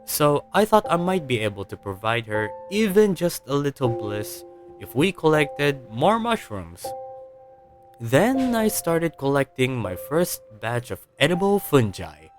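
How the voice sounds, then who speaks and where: A man narrating an audiobook story in a dramatic, measured way with pauses. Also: mid-range voice, big pitch swings. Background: music.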